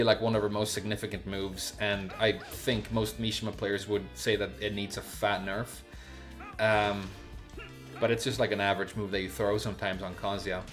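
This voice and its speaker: Low-voiced male streamer, halting and off-the-cuff. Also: steady tone, faint music behind. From Sweden. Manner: halting, searching for words with repeated false starts. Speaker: live streamer. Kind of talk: casual unscripted livestream chat and game commentary.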